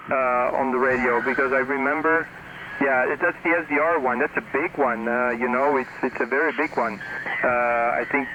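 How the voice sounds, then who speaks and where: A man talking casually as an amateur radio operator, heard through a shortwave radio transmission. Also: medium pitch. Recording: noisy ham radio reception. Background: clear radio noise.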